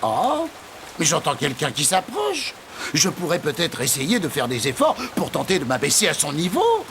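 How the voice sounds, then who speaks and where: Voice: mid-range voice, huge pitch swings, normal speed, noisy background. An actor voicing a vain, self-important male dung beetle in a cartoon, with pompous, theatrical, courtly delivery. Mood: puzzled, scheming. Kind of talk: animated cartoon voice acting.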